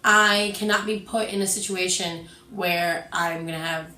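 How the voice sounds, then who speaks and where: A woman in her twenties who stutters talks candidly, her frustration plain and her points pressed hard. Her voice sits on the low side for a woman. She sounds a little distant from the mic in a roomy, echoing space, with faint music underneath. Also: steady tone, unhurried, sharp tone. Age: 20s. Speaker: video co-host. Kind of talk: casual personal talk.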